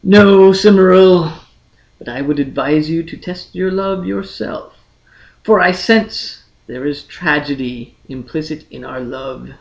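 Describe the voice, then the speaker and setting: A man's voice: fairly high pitch, a little slow, creaky, muffled recording, pitched below the performer's own voice. A man voicing a brooding, melancholy young emperor in a fantasy audiobook, grave, formal and fatalistic. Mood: melancholy, ironic. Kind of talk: dramatic fantasy audiobook character reading.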